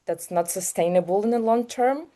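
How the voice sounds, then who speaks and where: Mellow-voiced Ukrainian woman, earnest. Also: mid-range voice. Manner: earnest, halting phrasing in non-native English. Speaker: guest, health business founder. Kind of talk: conversational interview answers.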